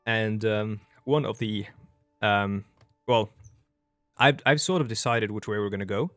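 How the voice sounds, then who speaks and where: A man's voice: lowish voice, unhurried, fairly bright tone, dry recording, clean studio sound. A young man from Norway with a Norwegian accent, whose first language is Norwegian, giving casual, chatty gameplay commentary as a let's play host and often stopping to restart his sentences. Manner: chatty and rambling, with frequent restarts. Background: faint background music.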